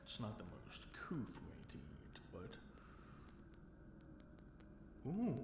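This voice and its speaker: Man playing a slow, echoing, possessive fallen king. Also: lowish voice, wildly swooping pitch. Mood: teasing, languid. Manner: slow, teasing, commanding, with drawn-out words and whispers. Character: possessive fallen dragon king, amused, seductive, menacing. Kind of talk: intimate ASMR fantasy audio roleplay. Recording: roomy echo, faint background noise.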